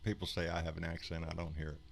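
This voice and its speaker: A man's voice: sits low, level tone, rapid-fire, creaky, faint music behind. A man with an American accent hosting a casual livestream chat.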